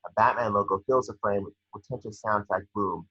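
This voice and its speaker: Raspy, low-voiced man reading screenplay narration. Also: fairly even intonation, brisk, pushed and bright. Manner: stumbles over the script. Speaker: scene description reader. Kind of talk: screenplay narration at an online table read. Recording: echoey room, slightly dull microphone.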